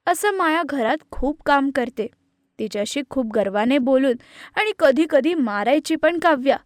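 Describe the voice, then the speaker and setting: A woman narrator with a very high voice tells a scripted moral tale for animation. The recording is close and dry. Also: dry recording. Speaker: story narrator.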